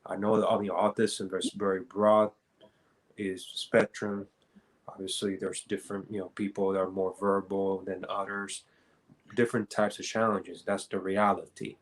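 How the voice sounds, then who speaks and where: A man from Rico, giving an earnest, halting, unscripted answer as an advocate on a panel. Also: low voice, level tone, rough recording. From Puerto Rico.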